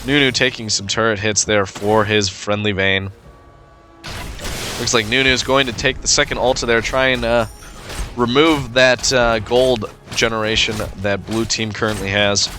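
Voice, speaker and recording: A man with a cold, sounding stuffed up, giving relaxed play-by-play commentary over a video game match with frequent fillers. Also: lowish voice, moderate pace, soft background music. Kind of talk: casual gameplay commentary.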